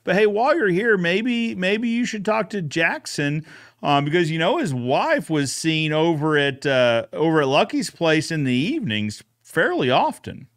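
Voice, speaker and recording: A man's voice: on the high side, big pitch swings, normal speed, fairly bright tone. A man co-hosting a casual recap, retelling a story in an informal, conversational way. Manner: informal, retells characters' dialogue. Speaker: co-host recapping episode.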